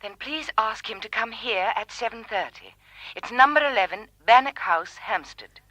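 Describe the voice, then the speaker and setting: A formal lady in a radio play. Her fairly high voice is bright and animated, pressing her urgent business with insistent politeness. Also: moderate pace, dry recording, low-quality recording. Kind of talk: radio drama acting.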